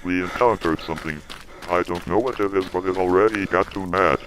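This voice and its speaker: A man acts out a frightened field agent in a homemade horror drama. His voice is low and uneasy, that of someone reporting back to his team. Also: fairly quick, fairly bright tone, a little hiss or hum, rough recording. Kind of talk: amateur horror scenario acting.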